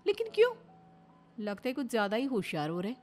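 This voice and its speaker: Young meddlesome daughter-in-law played by a woman, cartoon family comedy: high voice, naive, insistent, faint music underneath. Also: wildly swooping pitch, fast, darkish tone. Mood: nosy, indignant. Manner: nosy, insistent questioning. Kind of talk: animated Hindi family comedy story acting.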